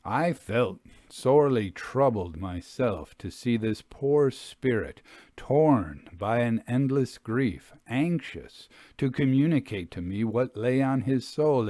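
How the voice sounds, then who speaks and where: A man reading a classic ghost story as narrator, in a solemn, deliberate and dramatic literary style. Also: medium pitch, a little mellow, no room sound, clean studio sound.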